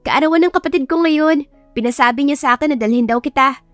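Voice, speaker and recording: A woman's voice: high voice, fast talker, fairly bright tone, no echo, faint music behind, pitched above the performer's own voice. A woman voicing a cheerful young female classmate who coaxes and pleads insistently in an audio drama. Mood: coaxing.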